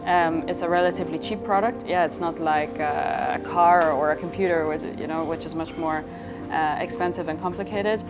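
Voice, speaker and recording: A woman's voice: low-pitched, steady tone, normal speed, few pauses. A woman from Switzerland talking as a company founder in an interview, telling stories in a casual, conversational way and quoting imagined dialogue. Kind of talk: interview storytelling in casual speech. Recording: clear background music.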